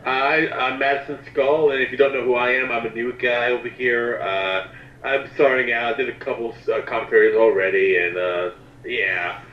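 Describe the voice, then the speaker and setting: A man's voice: mid-range voice, steady tone, moderate pace. A man joining as a guest commentator, chatting casually and riffing with sarcastic jokes. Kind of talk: casual joking chat. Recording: dull, roomy, slightly noisy voice-chat audio.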